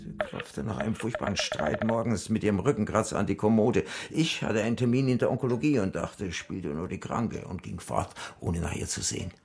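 Frail old widower, very creaky voice, morbid, self-pitying, submissive, wordy, radio drama, noisy background. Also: male voice, on the low side, lively intonation, fairly quick, few pauses, darkish tone. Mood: bitter, resigned. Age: older. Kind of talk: German radio crime drama acting.

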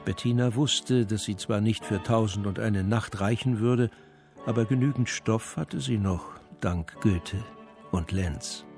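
A male narrator tells a crime story for radio in a composed, measured manner. His voice is lowish with a gravelly creak, and music plays clearly behind him. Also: a little mellow. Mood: composed suspense. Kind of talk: scripted narration of a crime drama.

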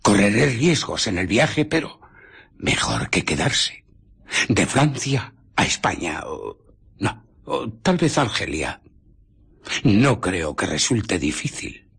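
Husky, low, scheming Castilian mad scientist. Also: male voice, very animated, a bit bright, full character voice. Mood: bitter, scheming. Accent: Castilian Spanish. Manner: intense, halting, emphatic, sinking into whispers. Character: embittered, scheming invisible scientist, coldly megalomaniac and menacing. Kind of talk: Spanish radio drama acting.

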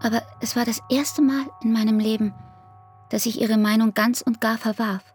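An actress playing an anguished, devoted young barmaid in an intimate radio play, with speech and a breathy, half-whispered, intense delivery. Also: female voice, fairly high pitch, fairly even intonation, normal speed, a little mellow, faint music behind. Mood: tender, anguished.

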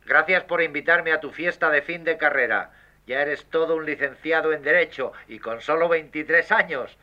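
A man's voice: fairly high pitch, normal speed, lightly acted. A man playing a casual, teasing cousin leaving a scripted answering-machine message. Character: friendly male cousin leaving phone message. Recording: dull answering-machine sound.

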